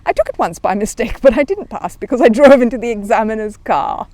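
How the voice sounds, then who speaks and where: A woman voicing a polite, earnest and excitable young male bear in a children's storybook reading. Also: fairly high pitch, brisk, no echo, voice pushed up, lightly acted. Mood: excited. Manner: earnest, courteous, literal-minded.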